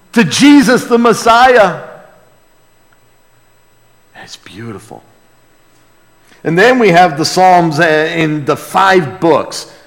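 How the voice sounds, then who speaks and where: An American man preaching a conversational sermon as a pastor, earnest and emphatic, with hushed asides and rhetorical questions. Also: a little high. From United States. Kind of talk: sermon, conversational Bible teaching. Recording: long hall reverb.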